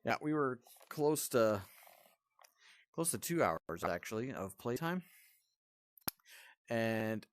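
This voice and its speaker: A man with a fairly low voice reviews a video game off the cuff. He is blunt and grumbles as he goes, sounding exasperated. The recording is dry, with very little room sound. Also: fairly bright tone, dry recording. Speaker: host of a VR game review channel.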